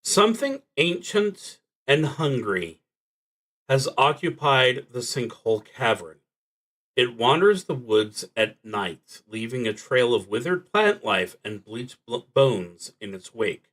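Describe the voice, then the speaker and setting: A man streaming a solo tabletop roleplaying game, thinking aloud in a halting, deliberate way that trails off between thoughts. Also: medium pitch, big pitch swings, a bit bright, rough recording.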